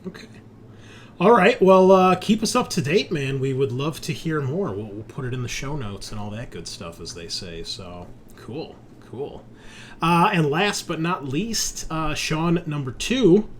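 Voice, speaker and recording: A man's voice: fairly high pitch, animated, measured pace, a little hiss or hum. A man hosting a tabletop roleplaying show as game master, chatting casually and informally with some swearing. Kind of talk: casual podcast chat.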